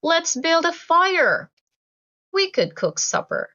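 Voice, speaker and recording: A woman's voice: very high voice, very animated, takes it slowly, bright, forceful tone, dull audio, pitched above the performer's own voice, exaggerated voice. A woman voicing an upbeat, kindly storybook father with good-natured enthusiasm.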